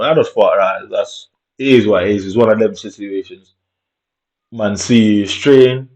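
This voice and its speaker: A man's voice: fairly low pitch, a little mellow. A man telling a story in a casual monologue, in streetwise slang, swearing and tagging lines with "innit". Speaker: vlogger. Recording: dull lo-fi recording.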